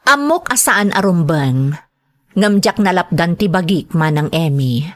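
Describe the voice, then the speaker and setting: A woman's voice: fairly low pitch, expressive, unhurried. A woman playing a hurt, resentful young adult elder sister in a radio drama, serious and emotional. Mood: regretful, confiding.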